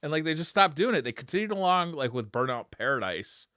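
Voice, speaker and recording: A man's voice: on the high side, big pitch swings, a little fast, sharp tone, no echo, studio quality. An enthusiastic man, chatting casually as a gaming podcast co-host. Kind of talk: casual chat about video games.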